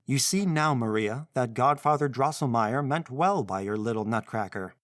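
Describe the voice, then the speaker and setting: A man playing a gentle mother who speaks calmly and seriously, a touch higher than the reader's usual voice. The sound is close and dry. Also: normal speed, no echo, close to an everyday voice.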